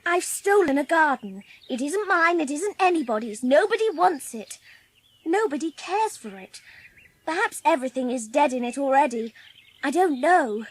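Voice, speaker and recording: A woman's voice: sits high, expressive, moderate pace. A woman playing an earnest, lonely orphan girl in a dramatised audiobook, with childlike phrasing. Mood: secretive, defiant.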